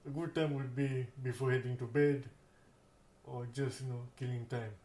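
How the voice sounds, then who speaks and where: Man, demo host, average pitch, informal tutorial style, halting and repetitive phrasing, roomy sound. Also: level tone, a little mellow. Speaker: host of accessibility tech demonstration videos. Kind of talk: informal spoken tutorial walkthrough. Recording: roomy echo.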